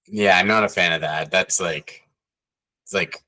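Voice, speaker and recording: A man co-hosting a casual sports podcast, talking loosely with many fillers and getting animated when amused. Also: low voice, a little slow. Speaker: podcast co-host.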